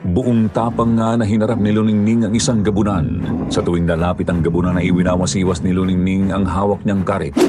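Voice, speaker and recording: Deep-voiced man narrating horror. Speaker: storyteller.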